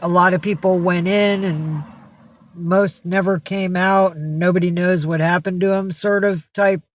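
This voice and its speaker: A man hosting a casual live stream, answering viewer comments and rambling with lots of fillers and restarts. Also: fairly high pitch. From San Francisco Bay Area.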